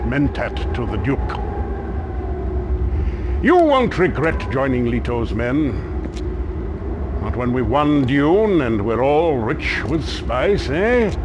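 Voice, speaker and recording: Slow, theatrical military mentor. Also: male voice, fairly high pitch, noisy background. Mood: welcoming, sly. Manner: declamatory, emphatic, dramatic pauses. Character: proud, gruff male military mentor loyal to his Duke. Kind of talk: theatrical game cutscene acting.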